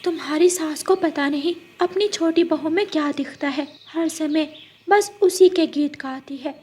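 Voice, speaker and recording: A woman voicing a gentle, mistreated young female bird in a children's story, soft-hearted and earnest. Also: high voice, a little slow, voice pushed up. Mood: hurt, self-blaming.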